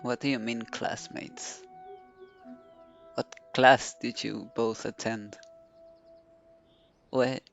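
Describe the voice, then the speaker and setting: Low-key streamer with very flat delivery. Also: female voice, extremely slow, creaky, pauses often. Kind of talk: casual game stream commentary. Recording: faint game music behind the voice.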